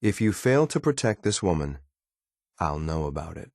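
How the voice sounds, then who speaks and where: A deep-voiced male android soldier from a video game, cold and disciplined. He speaks in clipped, formal phrases with curt authority. The recording is dry and close, with no room around the voice. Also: big pitch swings, moderate pace, vocal fry, a little mellow, dry recording, heavily acted. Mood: cold, menacing. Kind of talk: scripted video game voice lines.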